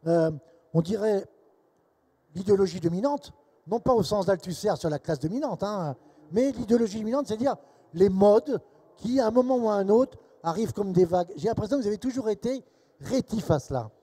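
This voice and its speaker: A man conducting a literary interview, quoting from a book in wordy, deliberate phrasing with frequent interjected tags. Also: high-pitched, lively intonation, dark tone. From provincial France.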